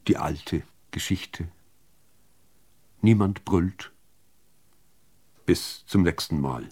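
A man reciting literary poetry, phrasing it in a measured, deliberate way with expressive emphasis. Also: deep voice, slightly rough. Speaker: reader of poems.

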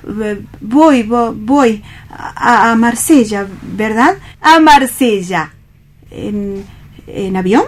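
An actor with an accent from Spain playing a timid, hapless young poet in a radio play reading, halting and meek. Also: male voice, high voice, heavily acted. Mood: nervous, hesitant.

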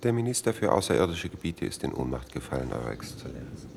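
An actor playing a dry, correct aide and physician in a 1960s German-language radio drama, clinical and official. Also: male voice, rumbling low, very animated, fast, creaky, darkish tone, slight background noise, exaggerated voice. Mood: urgent, formal. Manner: clinical, official, matter-of-fact.

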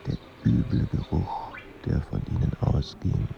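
A man narrating a melancholy literary radio drama in a measured, sombre manner. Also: lo-fi sound, music in the background, lightly acted.

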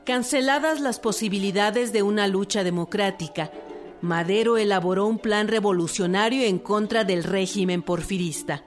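A woman's voice: medium pitch, normal speed. A woman reading scripted historical documentary narration as a narrator. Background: music.

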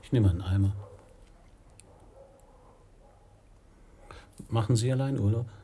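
An actor playing a frank, easygoing Danish painter in a German radio play, talking in a blunt, matter-of-fact way. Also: male voice, on the low side, racing, mellow, a little hiss or hum, close to an everyday voice. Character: candid Danish painter living simply in Tunisia, frank, easygoing. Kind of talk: German radio play acting, intimate dialogue.

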